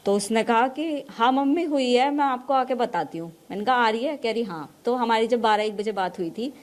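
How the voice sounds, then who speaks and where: A woman's voice: on the high side, normal speed. A middle-aged woman, answering interview questions in an emphatic, emotional way and repeating herself. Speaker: mother being interviewed.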